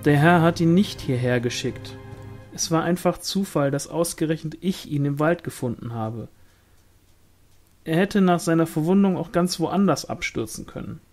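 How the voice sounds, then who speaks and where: A man's voice: a little high, moderate pace, a little mellow, soft background music, pitched above the performer's own voice, lightly acted. A man voicing a defensive, curt village woman in an audiobook story. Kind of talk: audiobook character dialogue.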